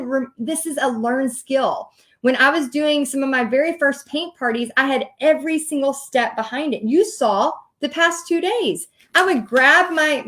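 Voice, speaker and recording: Chatty Texan woman, high voice. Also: fairly bright tone. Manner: chatty, direct, laughs often, addresses viewers. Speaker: host teaching paint party business. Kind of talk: casual livestream coaching talk.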